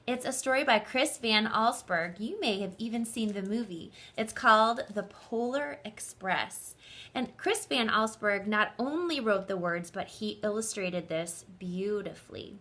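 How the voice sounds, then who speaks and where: Bright, expressive woman teacher reading to children. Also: medium pitch, measured pace. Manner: expressive, clearly enunciated. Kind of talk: children's story read-aloud, instructive.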